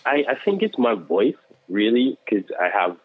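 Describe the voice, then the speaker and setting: A man, a social worker, talking about himself in a casual chat with a deep voice, earnest and self-assured, full of "like" and "you know". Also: very animated, normal speed, husky, dry recording. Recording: tinny phone-like line, dry.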